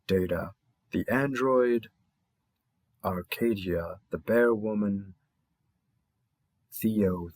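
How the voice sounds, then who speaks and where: A friendly male matchmaker for a monster dating app, acted by a man, presents with courteous, deliberate pauses. His voice sits fairly low and has a husky grain, recorded close and dry. The delivery is measured and polite, as in a scripted audio roleplay. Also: no echo. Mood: courteous, inviting.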